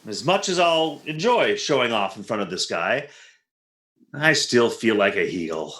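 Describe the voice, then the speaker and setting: A man's voice: mid-range voice, sing-song, measured pace, fairly bright tone. A man playing a jealous, exasperated, wisecracking husband in old-time radio comedy, grumbling and sarcastic in an echoing room. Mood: grumbling, sheepish.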